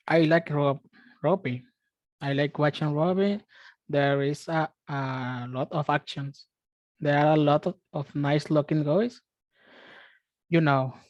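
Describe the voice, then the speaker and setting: A man who speaks natively reads an English text as a student. His delivery is slow, with stumbles over words. The sound is dry, without room echo. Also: a little high. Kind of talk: reading aloud in class.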